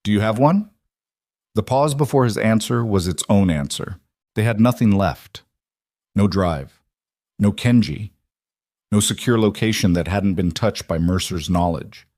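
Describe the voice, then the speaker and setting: A man reading scripted suspense fiction as an audiobook narrator, in a measured, deliberate manner. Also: on the low side, a little mellow, dry recording, studio quality.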